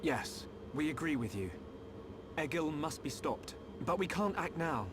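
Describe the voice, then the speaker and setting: Young male lead, sincere and resolute, lighter higher pitch, game cutscene, noisy backdrop. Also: very animated, unhurried. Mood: urgent, resolute. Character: earnest, polite, determined young male hero. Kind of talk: video game cutscene voice acting.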